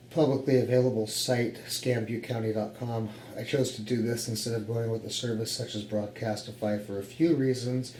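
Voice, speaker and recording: An American man talks through his gear in a casual, explanatory way. His voice is mellow and relaxed at a middle pitch. The room echoes around him, with faint noise behind. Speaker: hobbyist radio operator presenting his setup. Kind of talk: casual explanatory equipment walkthrough. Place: home office radio room, indoors. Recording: roomy echo with faint background noise.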